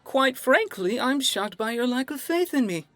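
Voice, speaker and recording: An actor playing a cocky, excitable best friend in an audio drama, playfully boastful. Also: male voice, very high-pitched, moderate pace, heavily acted. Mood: excited, smug.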